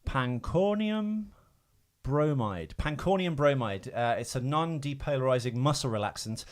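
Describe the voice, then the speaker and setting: A man's voice: medium pitch, expressive, measured pace. A man hosting a casual film trivia podcast, explaining things in a hesitant, informal way. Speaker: podcast host.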